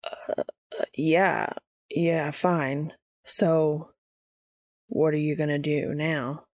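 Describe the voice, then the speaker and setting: Woman as a low, awkward, casual guy. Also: level tone, extremely slow, no room sound. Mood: flustered. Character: flustered, awkward gruff man, casual American hunter type.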